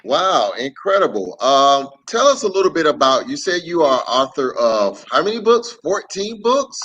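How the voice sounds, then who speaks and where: A man's voice: on the high side, very animated, moderate pace, a bit bright, husky, muffled recording. A man hosting a casual interview, asking a guest questions in a conversational way. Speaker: host.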